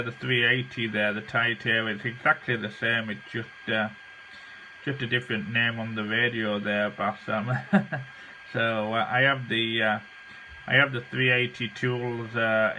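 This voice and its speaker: Bright-voiced man, halting radio chat. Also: medium pitch, fairly even intonation, slight background noise, low-quality recording. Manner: halting, frequent hesitations and repeats. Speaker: amateur radio operator. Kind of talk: casual ham radio chat.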